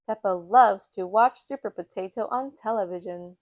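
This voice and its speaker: A woman reading a children's picture book aloud with a measured storytelling delivery. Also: medium pitch, dry recording. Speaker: storytime reader.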